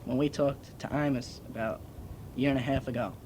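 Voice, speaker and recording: Fast-talking young man on noisy tape. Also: steady tone, darkish tone. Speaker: interviewer for a high school newspaper. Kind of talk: informal interview questioning. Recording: noisy, rumbling 1970s tape recording.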